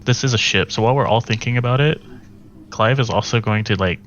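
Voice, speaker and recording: A man's voice: medium pitch, fast talker, a bit bright. A man playing a tabletop role-playing game, improvising and chatting casually, recorded with slightly lo-fi sound.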